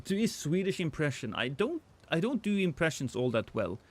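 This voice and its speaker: A man's voice: on the high side, sing-song, moderate pace. A man, chatting casually and joking with his chat while streaming a game. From Norway.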